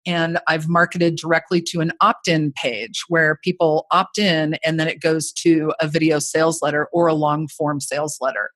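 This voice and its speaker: A woman's voice: deep voice, little pitch movement, normal speed, hardly any pauses, a bit bright, no room sound. A woman in her forties, a course creator guest, talking casually and a bit self-deprecatingly, with a voice people call made for radio. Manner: chatty, self-deprecating, frequent "you know". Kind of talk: casual podcast interview.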